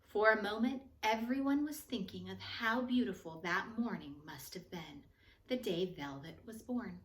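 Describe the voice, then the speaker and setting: A woman reading a children's picture book aloud in an expressive, measured storytelling manner, with phrases that trail off softer. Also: mid-range voice. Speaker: storybook reader. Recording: echoey room.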